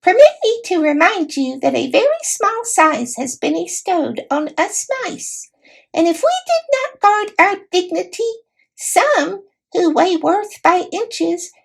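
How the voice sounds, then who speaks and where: Lady doing a teeny shrill warrior mouse from a storybook, super high n pompous, very formal. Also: gravelly, exaggerated voice, rough recording. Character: tiny proud male warrior mouse, courtly and dignified.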